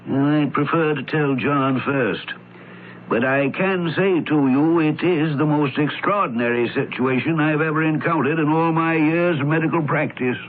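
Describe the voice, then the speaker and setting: An actor playing a guarded, confiding family doctor who speaks deliberately in a radio mystery drama. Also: male voice, on the high side, lightly acted. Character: male family doctor, guarded, gossipy professional. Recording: noisy, tinny old radio broadcast.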